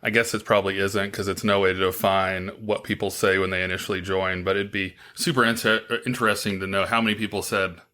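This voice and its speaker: A man's voice: sits low, flat delivery, a little fast, few pauses, bright, forceful tone. A man hosting an interview podcast in a casual, upbeat way, with plenty of filler words. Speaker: podcast host.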